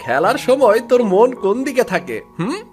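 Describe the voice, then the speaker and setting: An animated voice of an actor playing a child portrays an eager village boy, the confident big brother of a cartoon tale. The delivery is boyish and emphatic, swinging up and down over faint music. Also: mid-range voice, normal speed, natural voice. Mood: boastful.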